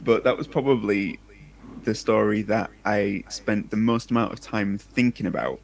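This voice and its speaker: A man's voice: lowish voice, normal speed, gravelly. A man who is an author chatting casually on a livestream in a rambling, informal, self-deprecating way. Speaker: debut sci-fi author, livestream guest. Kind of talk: casual chat.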